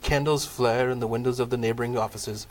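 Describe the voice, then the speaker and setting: A man's voice: mid-range voice, steady tone, fairly quick, heavy background noise. A man narrating a comic table read of a Christmas play, wry in tone. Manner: wry, laughs at the cast.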